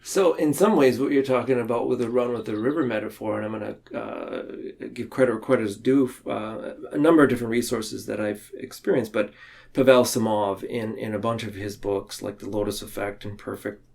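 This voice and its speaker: A man talking reflectively and explaining ideas in a podcast discussion, haltingly, often circling back to rephrase. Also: fairly low pitch, steady tone, creaky, a little mellow.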